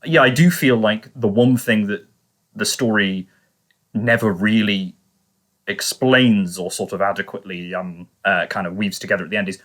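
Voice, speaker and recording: A man's voice: fairly low pitch, fairly even intonation, normal speed, a bit bright. A man hosting a casual review podcast, talking discursively and qualifying his points as he goes. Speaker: podcast host.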